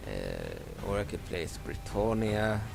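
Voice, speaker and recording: A low-voiced man talks over loud game music. His voice has a gravelly fry, and he commentates a let's play haltingly, often restarting and doubling words. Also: huge pitch swings. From Sweden. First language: Swedish. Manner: halting, self-interrupting, frequent stammered repeats. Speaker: gameplay video maker.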